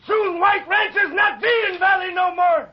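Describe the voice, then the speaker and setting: An actor playing an angry warlike Comanche chief, declaiming in broken English in an old-time radio western. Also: male voice, very high-pitched, normal speed. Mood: fierce, defiant. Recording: muffled old radio recording with crowd.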